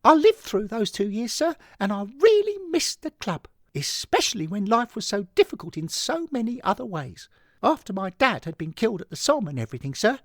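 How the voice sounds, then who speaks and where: Eager, high-pitched English lad. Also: male voice, brisk, no room sound, studio quality. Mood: earnest, wistful. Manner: excitable, punctuates phrases with "sir". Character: eager, emotional young English lad, deferential football fan. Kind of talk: scripted audio story monologue.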